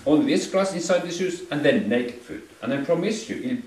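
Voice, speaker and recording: A man's voice: on the high side, animated, a little fast, darkish tone. A man whose first language is Sami, explaining things to a tour group in careful non-native English. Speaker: tour guide. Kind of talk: guided tour talk to visitors. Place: indoor venue with tour group. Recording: echoey room from far off with faint noise.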